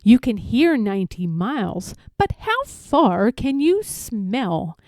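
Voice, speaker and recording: Woman putting on a gruff, loud boastful bear. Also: on the high side, big pitch swings, slow pace, no echo. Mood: competitive boasting. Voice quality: gruff, growling. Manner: snorting, scornful, emphatic. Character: boastful gruff big male bear, sneering and competitive. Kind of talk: storybook read-aloud character voice.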